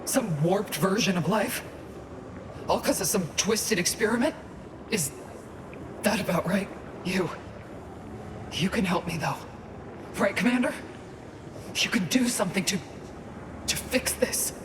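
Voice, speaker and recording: An actress voicing a wounded, frightened woman agent in a video game, speaking in a halting, pleading way. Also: medium pitch, expressive, close to an everyday voice. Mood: bewildered. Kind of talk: cinematic video game acting. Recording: roomy echo, background ambient noise.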